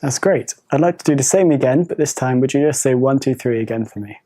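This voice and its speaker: Woman doctor, mellow tone, soft polite requests, clinical exam. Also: very deep voice, fast. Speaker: doctor examining a patient. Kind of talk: gentle clinical instructions.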